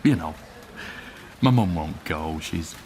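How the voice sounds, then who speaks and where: A man's voice: very deep voice, lively intonation, slow pace, vocal fry, dark tone, a little hiss or hum, exaggerated voice. An actor playing a guarded, hesitant man in a radio drama who sidesteps questions about himself. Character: guarded man going to his father's funeral.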